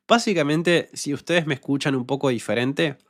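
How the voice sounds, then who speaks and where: A young man from Patagonia with a neutral Rioplatense Argentine accent and a uvular R that sounds French. He hosts a podcast, reading listener comments in a relaxed, hesitant way full of fillers. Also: fairly high pitch, dry recording, studio quality. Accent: neutral Rioplatense Argentine Spanish, uvular French-like R. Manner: relaxed and hesitant, many fillers, speaks slowly for learners. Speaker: podcast host and Spanish teacher.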